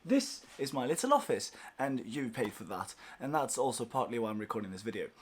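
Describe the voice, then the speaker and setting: A man's voice: medium pitch, very animated, fairly quick. A young man whose first language is Swedish, giving viewers a casual, upbeat update from his small office, chatty and grateful with plenty of fillers. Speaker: language course founder.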